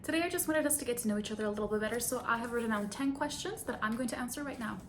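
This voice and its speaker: Young woman vlogger from Bulgaria, fairly high voice, casual chatty Q and A with easy laughs, faint rumble underneath. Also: racing. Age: 20s. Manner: chatty, laughs easily, self-conscious asides. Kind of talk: casual Q and A vlog.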